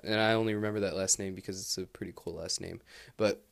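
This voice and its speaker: A man's voice: sits low, steady tone, quick pace, creaky. A young man recording a casual audio diary while studying abroad, meandering and candid, with lots of fillers and restarts. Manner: laid-back and candid. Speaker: exchange student keeping an audio diary. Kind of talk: rambling personal audio log. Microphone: handheld recorder held close.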